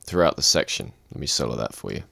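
Male instructor, low dry voice, calm step-by-step tutorial read. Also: fast, gravelly, husky, dry recording. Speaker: music production instructor. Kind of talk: screen-recorded software tutorial.

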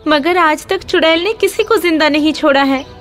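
A woman playing a worried, pleading young village wife in an animated Hindi story. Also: high-pitched, normal speed, soft background music. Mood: anxious, pleading.

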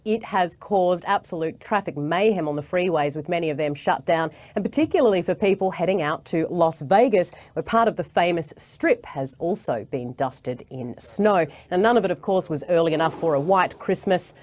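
Australian woman, thirties, TV correspondent, lowish pitch, live report and scripted read. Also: lively intonation, moderate pace, hardly any pauses, darkish tone. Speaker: TV news foreign correspondent. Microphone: lapel mic.

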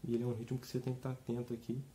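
Man with a cold, mellow voice. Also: mid-range voice, racing. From Brazil. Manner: rambling, relaxed, many fillers and restarts. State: slightly hoarse from a cold. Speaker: guitar teacher. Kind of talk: casual video lesson. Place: small room indoors, seated with an acoustic guitar.

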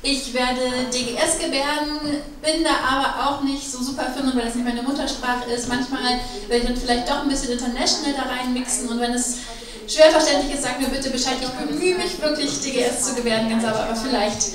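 A woman's voice: sits high, fairly even intonation, brisk, a crowd in the background. A woman moderating a panel discussion, enthusiastic and upbeat. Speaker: event moderator. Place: gallery room with audience. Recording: echoey room from far off, audience.

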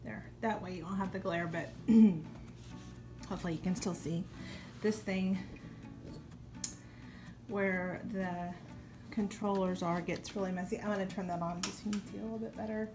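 A young woman talks in a loose, chatty way, full of ums and restarts. Her voice sits in an ordinary middle pitch and is recorded in a home kitchen. Music plays clearly underneath her voice. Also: steady tone, moderate pace, long pauses. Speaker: home cleaning vlogger. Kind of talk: casual talk over a cleaning demo.

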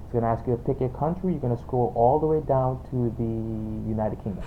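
A man with a Trinidadian accent giving an informal, halting software tutorial, explaining off the cuff and repeating himself. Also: mid-range voice, soft background music. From Trinidad and Tobago. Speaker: tutorial presenter. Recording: tinny phone-like home recording, background noise.

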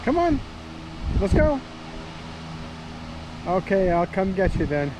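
Coaxing high-voiced man. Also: big pitch swings, slow, heavy background noise. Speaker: vlogger. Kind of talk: casual chat to a dog. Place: outdoors on a rural homestead.

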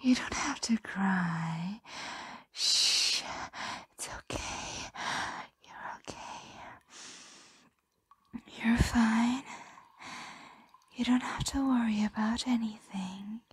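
Woman whispering as a possessive ancient dragon, very slow. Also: a little high, dry recording, heavily acted. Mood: soothing. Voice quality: breathy whisper. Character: possessive, condescending ancient dragon doting on captive royal. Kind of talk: whispered ASMR roleplay.

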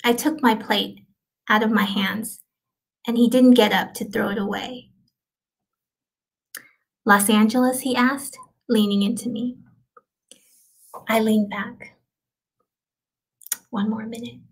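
A middle-aged woman gives a dramatic reading as an actor. Her voice sits fairly high for a woman, with a creaky edge. She speaks softly and reflectively, leaving deliberate pauses. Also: steady tone, rough recording. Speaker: actor performing a literary piece. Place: indoors, small plain room.

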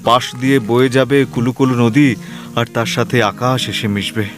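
An actor playing a young newlywed husband in an audio drama, gentle, dreamy and coaxing with his wife. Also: medium pitch, fairly even intonation, moderate pace, music in the background, close to an everyday voice. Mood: romantic.

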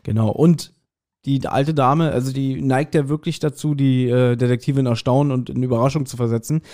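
Male podcast co-host, forties, soft mellow tone, chatty and jokey storyteller. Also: medium pitch, steady tone, a little fast. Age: 40s. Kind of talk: casual podcast chat recapping an audio drama.

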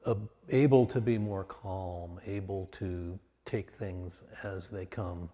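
A man leading a guided meditation as a teacher, speaking calmly and off the cuff in short, measured phrases with long pauses. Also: low-pitched. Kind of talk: guided meditation, spoken slowly and unscripted. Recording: long reverberant hall sound.

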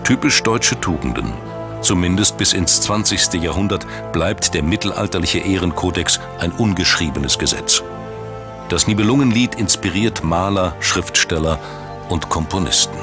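A man reading scripted documentary narration in a measured, dramatic storytelling manner. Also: low voice, animated. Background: background music.

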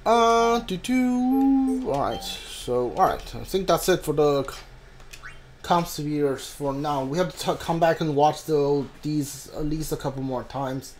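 A gamer giving casual playthrough commentary, halting and muttering, at times reading a guide aloud. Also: mid-range voice, a little mellow, slight background noise.

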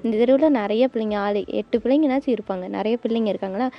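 Young woman, talks really fast, highish, dull lo-fi street interview sound. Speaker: local resident interviewee. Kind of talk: spontaneous news interview answers. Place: outdoors at a house doorway. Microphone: handheld reporter microphone. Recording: dull lo-fi field recording.

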